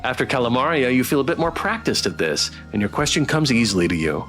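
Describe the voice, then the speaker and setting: A man's voice: medium pitch, expressive, quick pace, fairly bright tone. A man streaming a game, chatting casually as he reads game text and viewer comments aloud. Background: game music.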